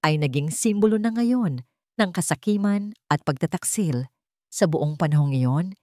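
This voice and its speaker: A woman's voice: on the low side, warm tone, no echo, clean studio sound. A woman reading a scripted dramatic love story as narrator.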